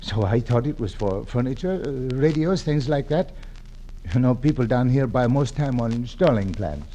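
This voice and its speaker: An actor playing an earnest middle-aged immigrant janitor who speaks broken English, in 1940s radio acting style. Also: male voice, mid-range voice, animated, moderate pace, warm tone, slight background noise, close to an everyday voice. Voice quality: broken English, humble and eager.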